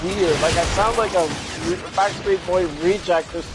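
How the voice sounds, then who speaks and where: High-voiced young man, rambling gamer chat. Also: steady tone, normal speed. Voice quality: sounds younger than he is. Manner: rambling, self-deprecating, fillers and restarts. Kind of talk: casual chat while playing. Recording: loud game noise behind.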